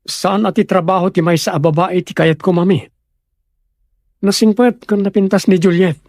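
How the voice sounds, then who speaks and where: A man's voice: high voice, fairly even intonation, normal speed, darkish tone, no echo, studio quality. A man playing an earnest, dutiful grown son in a radio drama, with an Ilocano accent.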